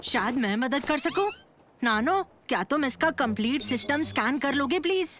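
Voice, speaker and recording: A woman voicing an eager, adventurous twelve-year-old boy who leads his friends in an animated comedy dub. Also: sits high, normal speed. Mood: polite, upbeat.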